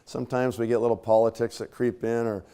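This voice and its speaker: A man with a slightly scratchy voice, clearing his throat now and then, gives a conversational leadership talk to a live audience. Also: medium pitch, level tone, fast, warm tone. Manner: conversational, casual fillers and tag questions. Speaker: speaker at a leadership training.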